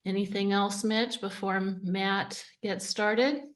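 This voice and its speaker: A woman's voice: mid-range voice, steady tone, slow. Enthusiastic woman hosting a webinar. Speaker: event host. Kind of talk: webinar introduction. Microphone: video call.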